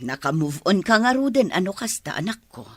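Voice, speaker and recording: A woman's voice: medium pitch, sing-song, brisk, a bit bright, dry recording. A woman acting a probing, concerned mother in an Ilocano radio drama.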